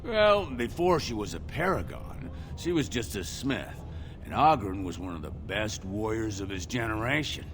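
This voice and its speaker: An actor playing a gossipy, disapproving dwarf townsman in a fantasy game, telling tales with expressive indignation. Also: fairly low pitch, normal speed, faint music behind. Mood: explaining. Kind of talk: video game voice acting.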